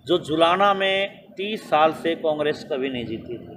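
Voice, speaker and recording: A man's voice: on the high side, animated, moderate pace, recorded from a distance, noisy background. A man in a casual political discussion, explaining his views with folksy everyday examples. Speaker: political commentator.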